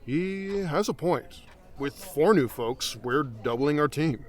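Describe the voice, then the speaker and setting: A man's voice: on the high side, lively intonation, takes it slowly. A man acting a casual, upbeat adventurer in a fantasy audio drama, with a folksy manner.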